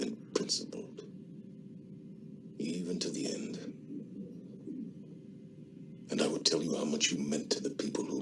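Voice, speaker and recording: An actor playing a grave, grieving male commander in a video game, speaking in a solemn, measured, hushed way. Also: deep voice, creaky, noisy background, heavily acted. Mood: tender, reverent. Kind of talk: dramatic video game eulogy.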